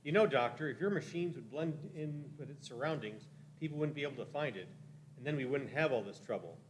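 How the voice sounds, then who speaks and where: A man's voice: mid-range voice, animated, a little fast, a little hiss or hum, natural voice. A man playing a shifty, cowardly, ingratiating time traveler in a radio play, wheedling and evasive. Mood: sly, ingratiating. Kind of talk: live radio-play acting.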